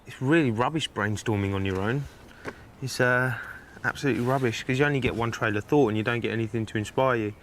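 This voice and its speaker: A young man born in Turkey talks in a casual, cheerful way, laughing easily as he bargains and plans. His voice sits at an ordinary middle pitch. A faint hiss runs under the recording. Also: fast. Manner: chatty and upbeat, laughs easily, haggles cheerfully. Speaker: groom planning his wedding. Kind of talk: casual reality documentary talk.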